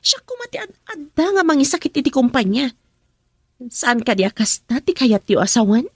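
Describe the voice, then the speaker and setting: A resentful married daughter in a Filipino radio drama. Her voice is high and leaps about emotionally as she complains to her mother, recorded close and dry. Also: sing-song, normal speed, no room sound. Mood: indignant.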